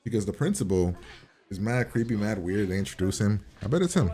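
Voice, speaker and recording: A man's voice: lowish voice, big pitch swings, a little fast, dark tone. A man giving casual, profane reaction commentary in a blunt, slangy way. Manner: blunt, slangy, swears constantly. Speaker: host reacting to a TV episode.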